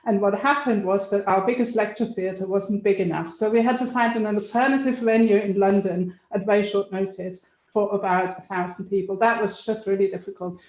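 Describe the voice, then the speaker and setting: A woman's voice: mid-range voice, fairly even intonation, a little fast, hardly any pauses, a little mellow. A woman lecturer tells a light, amused anecdote, laughing as she goes. She speaks over a video call. The sound is dull and roomy. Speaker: law professor.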